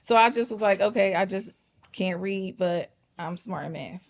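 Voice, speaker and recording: A woman's voice: medium pitch, moderate pace. Woman guest, first language Patois, candid, reflective, conversational. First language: Jamaican Patois. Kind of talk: casual talk-show conversation.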